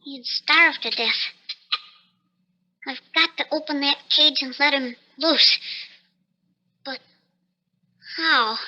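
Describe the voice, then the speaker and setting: A man's voice: extremely high pitch, slow pace, long pauses, sharp tone, full character voice, poor audio. An actor playing a bitter, desperate shrunken research scientist in his thirties, theatrical and self-pitying in a 1950s radio drama, his voice artificially raised. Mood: anxious, wry. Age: 30s.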